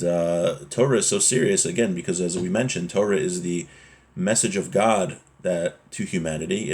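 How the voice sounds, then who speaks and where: A man with a fairly low voice teaches an informal religious class. He reads short passages and then explains them point by point, now and then checking in with a brief rhetorical question. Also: darkish tone. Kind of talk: informal Torah lesson, reading and explaining a text.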